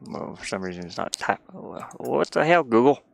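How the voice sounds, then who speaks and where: A man chatting casually on a livestream, rambling and halting, often putting down his own efforts. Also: mid-range voice, sing-song, vocal fry, no room sound, clean studio sound. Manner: halting, rambling, self-deprecating. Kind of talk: casual livestream chat while sketching.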